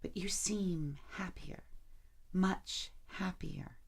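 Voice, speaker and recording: An actress playing an anxious, lovelorn woman pleading with a distant boyfriend in a naturalistic audio drama, halting and hesitant, often repeating her words. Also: fairly low pitch, gravelly, breathy edge, heavily acted. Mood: pressing, frustrated.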